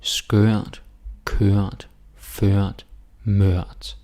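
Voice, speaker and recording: A man with a Danish accent teaching pronunciation in a casual way, repeating words slowly for learners. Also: fairly low pitch, flat delivery, warm tone. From Denmark. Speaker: language teacher. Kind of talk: pronunciation lesson.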